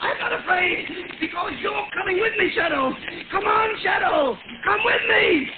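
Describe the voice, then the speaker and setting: An actor playing a desperate male murderer who shouts frantic threats, in a 1930s radio drama acting style. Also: very high-pitched, normal speed. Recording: tinny, hissy old radio recording.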